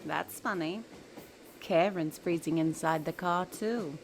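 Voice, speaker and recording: Woman playing a slow, smug magical villain. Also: fairly low pitch, slight background noise, poor audio. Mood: mocking. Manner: mocking, silky, taunting. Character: smug villainous magical creature bound to an evil hat. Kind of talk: audio drama acting.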